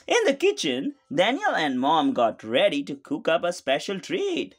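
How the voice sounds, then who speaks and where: A woman's voice: deep voice, huge pitch swings, normal speed. A woman reading a storybook aloud to small children, expressively and with questions to the listener. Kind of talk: animated read-aloud narration to small children.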